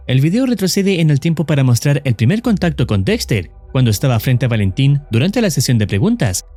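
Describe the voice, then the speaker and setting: A man reads scripted narration as the narrator of a horror explainer, in a measured storytelling manner. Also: fairly high pitch, dark tone.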